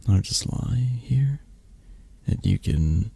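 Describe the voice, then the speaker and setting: Casting: tender, possessive mafia lover , acted by a man for ASMR roleplay; dark mellow tone, lively intonation, breathy gravelly murmur. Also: fairly low pitch, slow pace, dry recording, exaggerated voice. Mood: tender, loving. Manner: soft, hesitant, murmuring, breathy. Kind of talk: intimate boyfriend-roleplay ASMR.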